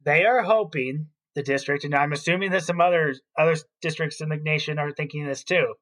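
A man's voice: a little high, fairly quick, fairly bright tone. A man co-hosting an education podcast as a school principal, explaining his opinions in casual talk.

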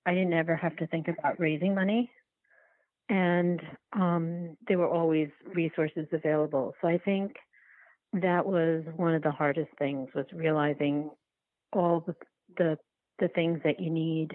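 A woman being interviewed about her work, speaking reflectively and haltingly as she searches for words. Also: low voice, fairly even intonation, narrow, tinny audio. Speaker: documentary filmmaker, interview guest. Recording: roomy, band-limited remote-call sound.

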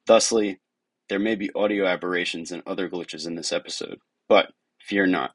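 A man's voice: low voice, steady tone, normal speed, a bit bright, no room sound. A man hosting a podcast, moving between wry announcements and casual questions. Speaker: podcast host. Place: indoors, in person with guest.

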